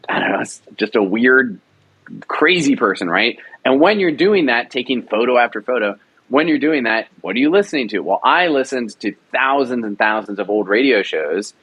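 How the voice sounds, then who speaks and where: A man's voice: on the low side, muffled recording. A man producer talking in an interview, enthusiastic and rambling, with many restarts. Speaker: radio drama producer. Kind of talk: casual interview talk.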